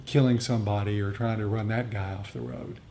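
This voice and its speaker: A man with a soft, mellow voice gives a reflective dharma talk. He explains things haltingly, pausing to find his words as he answers a group. A low rumbling noise sits behind him. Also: level tone, gravelly. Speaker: meditation teacher. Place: group meditation gathering.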